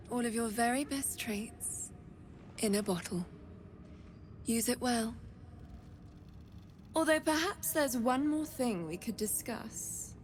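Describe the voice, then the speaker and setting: A woman playing an eerie, imperious blood trader with morbid curiosity in a video game, speaking in a measured, silky, haughty way. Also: fairly high pitch, a little mellow, a little hiss or hum. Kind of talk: dramatic video game acting.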